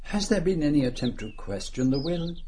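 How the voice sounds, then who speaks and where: An actor playing a courteous, slightly pompous foreign detective with a Belgian French accent in a radio drama. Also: male voice, a little high, lively intonation, a little fast, mellow, lightly acted. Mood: politely inquisitive. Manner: polite, precise, slightly pompous. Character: courteous, self-satisfied middle-aged foreign detective, a man.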